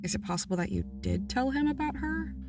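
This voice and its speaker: A woman's voice: high-pitched, expressive, fairly quick, gravelly, over music. A woman playing a level-headed, dry-witted co-investigator in an audio drama. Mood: cautious. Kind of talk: audio drama dialogue, conversational.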